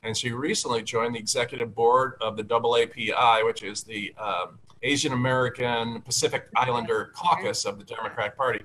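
A man's voice: mid-range voice, fairly even intonation, fairly quick, hardly any pauses, pushed and bright, low-quality recording. A man hosting a casual video call talk show from a home study with bookshelves, upbeat and chatty with plenty of fillers. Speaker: host and candidate. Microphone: video call webcam.

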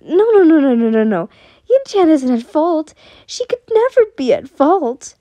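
A jealous, lovesick young man, played by a woman, ranting in a high, soft-toned voice with dramatic emotion. The story character sounds unhinged and obsessive, recorded close and dry. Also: takes it slowly, no room sound. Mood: frantic denial.

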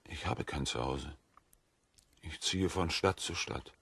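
An actor playing a gentle, earnest, naive wandering prisoner in an audio drama, speaking plainly. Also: male voice, extremely low pitch, takes it slowly, husky, no echo, heavily acted. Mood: meek, plain.

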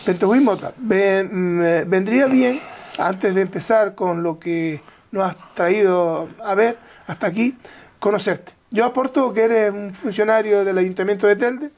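A woman's voice: mid-range voice, a little slow, darkish tone. A woman hosting a conversational interview, speaking in short broken-up phrases. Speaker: interviewer, show host.

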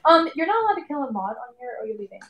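High-pitched, animated young woman, flustered. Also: very fast, lo-fi sound. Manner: flustered, exasperated, repeats herself. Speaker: game server moderator. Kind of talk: casual gaming voice chat. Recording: roomy echo, music behind.

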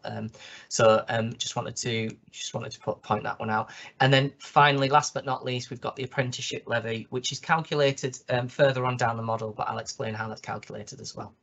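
Hoarse man, halting explanations. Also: medium pitch, a bit bright, lo-fi sound. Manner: hesitant, many ums and restarts. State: slightly hoarse. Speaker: consultant presenting a toolkit. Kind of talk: screen-share walkthrough.

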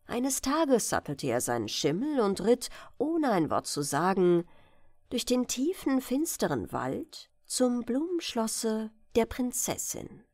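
A woman's voice: a little high, wildly swooping pitch, slow, a little mellow, dry recording. A woman narrating a bedtime fairy tale as an audiobook reader.

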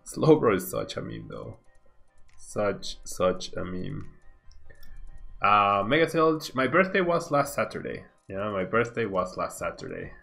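A man's voice: lowish voice, big pitch swings, slow, creaky, pauses often, fairly bright tone, faint music behind. A man of thirty-one doing casual, slangy livestream game commentary, laid-back and often repeating his phrases. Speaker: game streamer.

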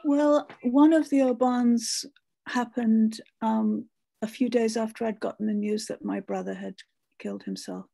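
A woman telling a personal memory in a measured, sombre way over a video call. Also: fairly high pitch, fairly even intonation, gravelly, dark tone. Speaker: former member of a women's arts colony.

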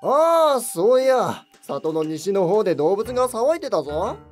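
A man playing a gruff, boozy, teasing yokai in a Japanese fantasy comedy voice drama, with rough slangy banter. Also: high voice, very animated, moderate pace, faint music behind.

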